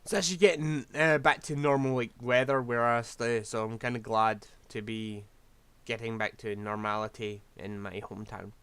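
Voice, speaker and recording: A man's voice: mid-range voice, steady tone, moderate pace, few pauses, fairly bright tone, clean tone, no echo. A man, rambling through casual gameplay commentary while losing his voice. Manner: rambling, scatterbrained, self-deprecating. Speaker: game streamer.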